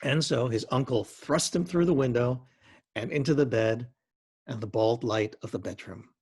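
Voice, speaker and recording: A man giving a dramatic reading of literary fiction, with measured, expressive and lyrical phrasing. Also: medium pitch, breathy edge.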